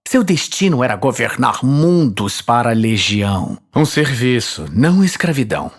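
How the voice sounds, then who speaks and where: Male narrator, Brazilian, for a fantasy audio series; dramatic scripted read, husky mid-range voice. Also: mid-range voice, very animated, normal speed.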